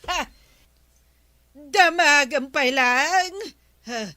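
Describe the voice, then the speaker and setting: A woman's voice: high voice, extremely slow, bright, forceful tone, heavily acted. An actress with an Ilocano accent playing a stern, scolding landlady in a radio comedy drama. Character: stern, scolding landlady demanding overdue rent.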